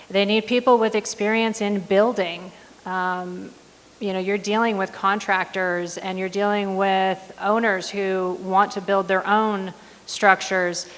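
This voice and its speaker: A woman running for county supervisor, answering in a debate in a firm, measured way with frequent ums. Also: lowish voice. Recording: long hall reverb.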